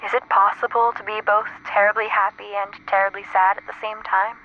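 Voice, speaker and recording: A woman's voice: medium pitch, flat delivery, brisk, phone-quality sound. A woman playing a tender, wistful lover in a retro science fiction audio drama, her voice filtered like an old phonograph with static. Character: tender, wistful woman in love, speaking from another universe. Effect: old phonograph or radio filter with static. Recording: small distant filtered voice, crackling static. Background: static noise.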